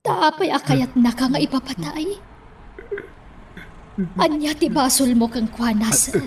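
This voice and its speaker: A woman's voice: sits high, measured pace, a little hiss or hum. A woman playing a concerned, questioning subordinate in a radio drama. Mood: concerned, probing.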